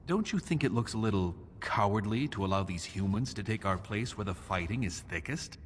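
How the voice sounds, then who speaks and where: Man playing a sly, proud dwarf prince, low-voiced. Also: lively intonation, brisk, natural voice. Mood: sardonic. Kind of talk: fantasy game voice acting. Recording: echoing hall, faint noise.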